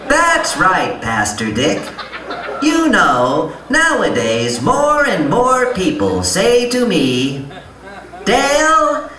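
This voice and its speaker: A man's voice: sits high, huge pitch swings, extremely slow, full character voice. A man voicing a pious, chirpy squirrel puppet who announces and preaches with showy, mock-earnest deliberation on a live stage. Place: live on stage in a music venue. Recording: echoey live hall, distant, faint noise.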